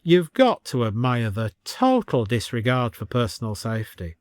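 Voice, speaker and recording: A man's voice: mid-range voice, big pitch swings, moderate pace, no echo, natural voice. Guy doing a wry shop owner in an audiobook, persuasive, dry studio sound. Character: wry, bargaining male village shopkeeper.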